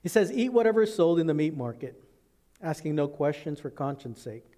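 A man preaching a sermon as pastor, working through scripture and acting out quoted speech in lively voices. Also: a little high, fairly quick. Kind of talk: expository sermon. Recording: long reverb in a large hall.

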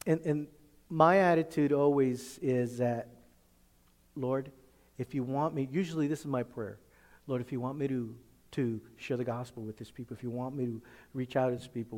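A man, a pastor, tells a personal story in a conversational, halting sermon style, often acting out the dialogue. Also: mid-range voice, darkish tone. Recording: echoey hall with long reverb.

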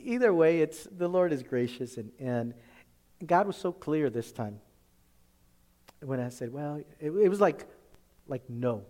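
A man, a pastor, tells a personal story in a conversational, halting sermon style, often acting out the dialogue. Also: medium pitch, dark tone. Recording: echoey hall with long reverb.

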